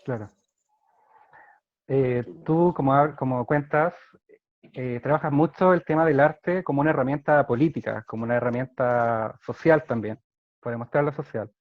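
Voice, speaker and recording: A man's voice: mid-range voice, moderate pace, no room sound. A man hosting an interview over a video call, polite and formal. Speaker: host, school interviewer. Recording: tinny phone-like video call audio.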